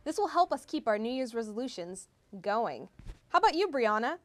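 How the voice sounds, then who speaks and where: A woman's voice: on the high side, big pitch swings, normal speed. A woman doing a scripted mock TV news report as a field reporter, with an exaggerated, peppy delivery. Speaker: field reporter in a college's comedic promo newscast.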